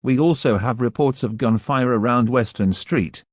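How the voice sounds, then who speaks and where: Male announcer, very dry close sound, formal and measured, delivering scripted emergency bulletins. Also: mid-range voice, no room sound.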